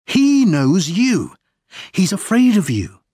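A man voicing an earnest, determined gentleman pressing for answers in audiobook dialogue. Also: sits high, wildly swooping pitch, slow, husky, dry recording, studio quality, pitched above the performer's own voice, exaggerated voice. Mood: urgent. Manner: urgent, earnest.

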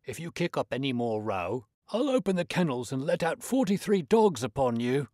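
A man voicing a lanky, cranky old male animal keeper with shrill, querulous, working-class speech. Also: very animated, moderate pace, a little mellow, dry recording, clean studio sound, lightly acted. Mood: angry shouting.

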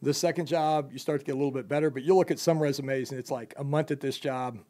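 A man's voice: medium pitch, steady tone, fast talker, mellow. A young man who owns a company, casually interviewing a job applicant in a loose, rambling way with lots of fillers.

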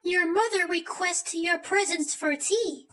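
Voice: extremely high pitch, a little slow, fairly bright tone, a little hiss or hum, voice pushed up. A performer voicing a polite, formal female cartoon duck knight. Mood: courteous. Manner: formal, courteous.

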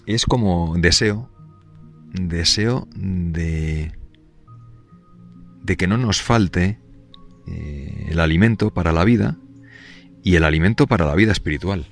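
Male priest, very low voice, unscripted catechesis, soft halting delivery, light music bed. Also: vocal fry. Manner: gentle, halting, many fillers and restarts.

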